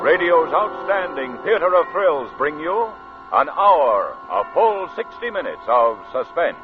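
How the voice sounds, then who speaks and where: A man's voice: a little high, very animated, unhurried. Man, 1940s radio announcer, emphatic, swooping delivery, tinny narrow recording, music underneath. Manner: emphatic, theatrical. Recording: tinny, band-limited old radio recording.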